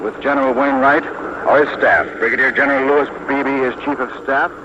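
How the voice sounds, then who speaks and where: An American man reporting live as a radio correspondent in formal, measured 1940s news commentary, outdoors on a warship deck. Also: medium pitch, fairly even intonation. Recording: noisy muffled 1940s outdoor radio broadcast. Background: loud noise, aircraft drone.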